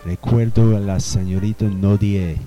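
A man playing a slow, cultured old gentleman. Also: fairly low pitch, steady tone, dull audio, lightly acted. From Colombia. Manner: slow, reflective, storytelling. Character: refined old man from the 1800s, cultured, musical. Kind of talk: radio drama acting. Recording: music bed under the voice.

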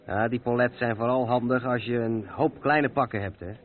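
Actor as seasoned trucker on tinny radio. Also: male voice, mid-range voice, moderate pace, close to an everyday voice. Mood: patient, explaining. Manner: explanatory, matter-of-fact. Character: Dirk, seasoned male truck driver, patient explainer. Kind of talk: radio play dialogue. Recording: tinny, noisy old radio recording.

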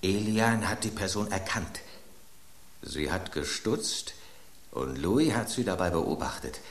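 A man's voice: deep voice, expressive, slow, reverberant, heavily acted. An actor voicing an aging male loaf of bread, an urbane, ironic epicure, in a radio play. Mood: composed.

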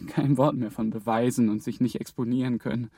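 A man's voice: mid-range voice, fairly even intonation, fairly quick, warm tone, husky, no echo, studio quality. A man playing a young male EU intern on the run, talking in tense, often whispered asides in a radio crime drama. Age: young adult.

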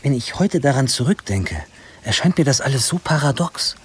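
A man's voice: medium pitch, animated, moderate pace, heavy background noise, lightly acted. An actor narrating a radio drama as a wry young man looking back on his troubled teens, with a dry, ironic manner. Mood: wry, reflective.

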